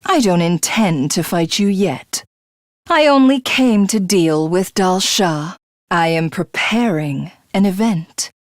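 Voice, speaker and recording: Female game tyrant, godhood-obsessed, contemptuous, grandiose delivery, unhurried pace, dry studio sound. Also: lowish voice, expressive, dry recording. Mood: haughty, menacing. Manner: haughty, theatrical, contemptuous. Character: megalomaniacal female alien tyrant craving godhood. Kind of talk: video game villain voice acting.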